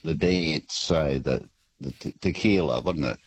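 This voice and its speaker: A man's voice: very deep voice, unhurried, a little hiss or hum. A man calling a radio quiz, casual and hesitant, chuckling as he talks. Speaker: caller.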